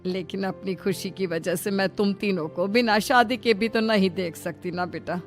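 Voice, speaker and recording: A woman's voice: medium pitch, normal speed, soft background music, lightly acted. A woman voicing a bossy middle-aged mother-in-law in a dramatic, emphatic cartoon style. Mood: fond, worried. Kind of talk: Hindi cartoon story acting.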